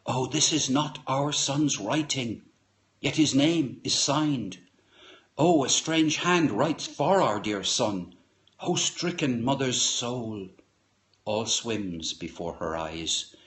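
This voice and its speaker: A man reciting poetry aloud in a solemn, expressive way, lingering over short dramatic phrases. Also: fairly low pitch. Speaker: poetry reader, live stream host.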